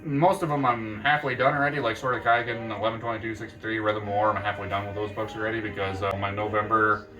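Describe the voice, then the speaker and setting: A man chatting casually to camera about books, animated and quick to jump up in excitement. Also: far from the mic, soft background music, rough recording. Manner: animated, jumps up in pitch with excitement. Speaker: book vlogger.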